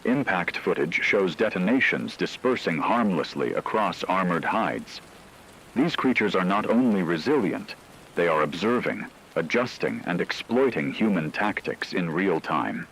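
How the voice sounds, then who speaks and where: A man playing a grave radio news anchor, delivering scripted breaking news in a solemn, measured, dramatic way. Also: sits low, expressive, a bit bright, exaggerated voice. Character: grave male radio anchor reporting a catastrophe. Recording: noisy hissy radio broadcast.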